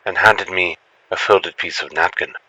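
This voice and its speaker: A man narrating a scripted first-person story in a dramatic, expressive storytelling manner. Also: low voice, moderate pace, breathy edge, no room sound, rough recording. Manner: expressive storytelling, acts out the lines.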